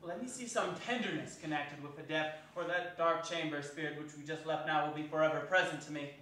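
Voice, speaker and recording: A man's voice: fairly high pitch, brisk, pushed and bright. A man playing Scrooge as an old miser pleading in dread, declaiming in a dramatic stage reading. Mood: fearful pleading. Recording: echoey hall, far from mic, faint noise.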